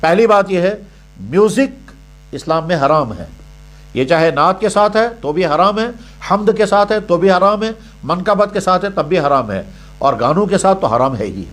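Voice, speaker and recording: A man's voice: high-pitched, lively intonation, moderate pace. A man giving religious guidance in a didactic, emphatic way, repeating points in parallel phrases. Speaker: Islamic scholar answering religious questions. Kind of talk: religious question-and-answer sermon.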